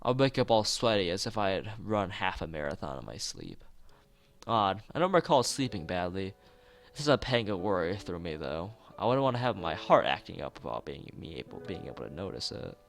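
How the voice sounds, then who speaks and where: A man's voice: sits low, sing-song, fairly quick, vocal fry. A man streaming a let's play, reading a visual novel aloud with casual asides, often tripping over words and rereading them. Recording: music playing behind the voice.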